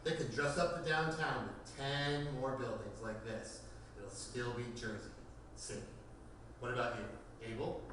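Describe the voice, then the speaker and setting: A man's voice: medium pitch, fairly even intonation, a little slow, lightly acted. A man acting in a table read as a smug, theatrical finance executive, mocking, profane and flippant. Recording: roomy, distant mic, faint noise.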